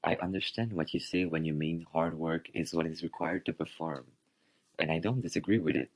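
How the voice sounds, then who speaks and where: A young male student with a low voice argues his side in a scripted radio debate. His wording is formal and measured, and the sound is close and dry. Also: fairly even intonation, no echo. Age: young adult.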